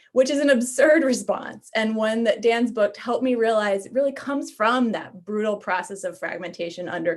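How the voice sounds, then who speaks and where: Polite woman moderating a museum talk. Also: mid-range voice. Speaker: museum staff, event moderator. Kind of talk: moderating a lecture event.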